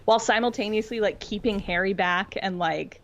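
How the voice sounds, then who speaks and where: A woman's voice: mid-range voice, brisk, a bit bright. A woman guesting on a podcast, chatting casually and jokily like an enthusiastic fan. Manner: informal, jokey, enthusiastic fan talk. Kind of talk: casual pop-culture chat.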